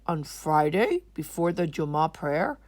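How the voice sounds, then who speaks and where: Woman as a calm, measured storybook dad. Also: deep voice, no room sound, full character voice. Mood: explaining. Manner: measured explaining. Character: calm, instructive father.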